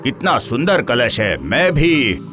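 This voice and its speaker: A man's voice: mid-range voice, sing-song, slow, music in the background. A man playing a greedy, bullying village landlord in a cartoon, with a domineering, blustering manner. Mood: smug, demanding. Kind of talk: cartoon story voice acting.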